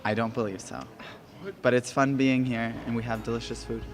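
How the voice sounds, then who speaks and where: A man's voice: mid-range voice, little pitch movement, brisk. A chef, a man, giving plain, slightly hesitant interview answers. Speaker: chef competitor.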